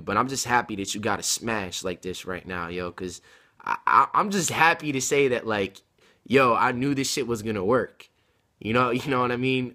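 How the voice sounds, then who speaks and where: A man's voice: medium pitch, pushed and bright. Young man rambling in casual slang. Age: 20s. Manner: slangy, emphatic, rambling street-style talk. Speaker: rapper and video blogger. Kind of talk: casual vlog talk to camera. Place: home room with bookshelves, indoors. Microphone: laptop webcam mic at arm's length.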